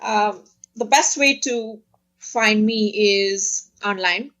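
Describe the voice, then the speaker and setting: A woman speaking as a podcast guest in a casual interview, earnest and quick to laugh. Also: medium pitch, a bit bright.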